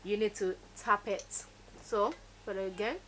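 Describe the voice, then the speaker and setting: A woman's voice: mid-range voice, steady tone, measured pace, fairly bright tone, a little hiss or hum. Woman teaching makeup. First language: Yoruba. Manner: instructive, emphatic, repeats key words. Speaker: makeup tutorial host. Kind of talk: step-by-step beauty tutorial.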